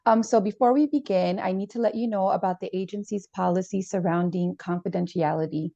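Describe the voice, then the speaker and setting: A woman's voice: mid-range voice, fairly quick, vocal fry, mellow, dry recording, muffled recording, natural voice. A woman playing a student therapist in a role-played counseling session, explaining policies formally and hesitantly, with many fillers. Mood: calm, formal.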